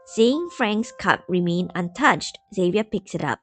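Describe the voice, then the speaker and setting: A woman reading a story aloud as an expressive storybook narrator. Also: mid-range voice, a little slow, faint music behind. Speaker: narrator, fan story reader.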